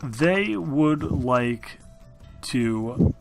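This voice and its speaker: A man acts as game master, improvising narration in a casual, halting way. His voice is mid-pitched, with music playing behind it. He swears freely as he goes. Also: lively intonation, vocal fry. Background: background music.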